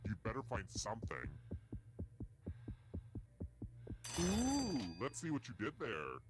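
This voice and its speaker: A man's voice: medium pitch, wildly swooping pitch, moderate pace, noisy background. An actor playing a sardonic, theatrical male host of a macabre trivia video game. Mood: playfully macabre. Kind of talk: scripted game show hosting.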